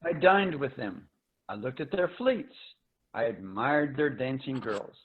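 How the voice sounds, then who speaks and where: Tinny call audio. Resigned, formal male envoy, played by an actor, weary sci-fi drama, middle pitch. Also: lively intonation, measured pace, slight background noise, natural voice. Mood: weary resignation. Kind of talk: dramatic reading of a science fiction story. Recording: thin phone-like video call audio.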